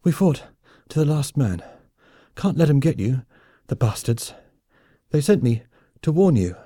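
A man's voice: medium pitch, big pitch swings, unhurried, dark tone, dry recording, clean studio sound, pitched above the performer's own voice. A man voicing a panicked, wounded soldier in an audiobook, gasping out urgent warnings. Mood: desperate, urgent. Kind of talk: audiobook character voice.

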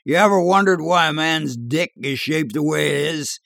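A man's voice: a little high, moderate pace, gravelly, a bit bright, no echo, pitched above the performer's own voice. Guy doing a cocky bar joker, raised voice, drawly and slangy, storybook character, close dry sound. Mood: conspiratorial. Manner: emphatic, drawling, conspiratorial, slangy. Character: brash barroom joker spinning a dirty yarn. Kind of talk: audiobook character dialogue.

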